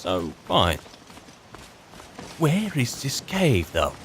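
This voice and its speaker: A man's voice: medium pitch, wildly swooping pitch, extremely slow, fairly bright tone, slight background noise. A man improvising in-character commentary as he roleplays through a video game, musing and wry as he chats.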